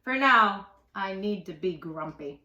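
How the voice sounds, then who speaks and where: A woman's voice: medium pitch, expressive, a little slow, sharp tone, reverberant, voice pushed down. A woman voicing a grumpy young male chimpanzee for a children's picture book, sulky and wailing in an exaggerated way.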